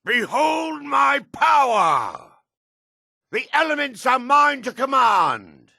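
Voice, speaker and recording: An actor playing a power-mad dark elf mage in a fantasy game, grandiose, theatrical and menacing. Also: male voice, very high-pitched, very animated, slow pace, vocal fry, no echo, studio quality, exaggerated voice. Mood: triumphant fury. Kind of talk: fantasy video game battle dialogue.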